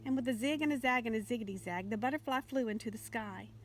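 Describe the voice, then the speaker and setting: A woman narrates a children's story in a fairly high voice. Her reading is expressive, as for a picture book, and there is faint noise behind her. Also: fast talker. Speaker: storybook reader.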